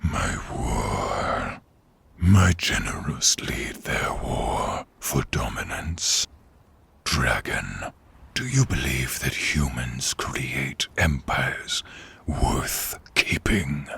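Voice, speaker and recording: A man playing a menacing, bitter dark sorcerer king risen from peasantry, with a gravelly half-whispered rasp and grandiose, taunting delivery in a fantasy audio drama. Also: rumbling low, expressive, heavily acted. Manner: slow, theatrical, taunting delivery. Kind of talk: fantasy audio roleplay acting.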